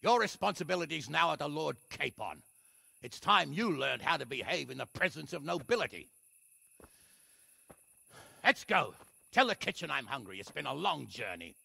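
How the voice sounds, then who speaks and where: An actor playing a stern, exasperated middle-aged lord in a video game, commanding, sarcastic and rough-voiced. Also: male voice, on the high side, very animated, normal speed, long pauses, bright, forceful tone, no echo, exaggerated voice. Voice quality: gravelly, rough. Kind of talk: dramatic video game acting.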